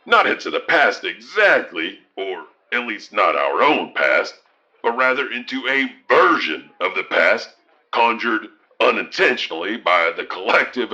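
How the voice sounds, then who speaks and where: A man's voice: lowish voice, sing-song, normal speed, pushed and bright, lo-fi sound, full character voice. A man playing a cryptic, professorial eyewitness who rambles hesitantly and pedantically about lore in an audio drama. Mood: musing, pedantic.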